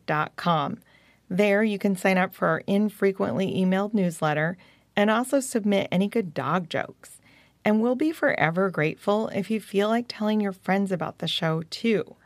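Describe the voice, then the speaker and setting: A woman hosting and narrating a podcast for children in an encouraging, presenterly manner. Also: mid-range voice. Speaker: podcast host and storyteller.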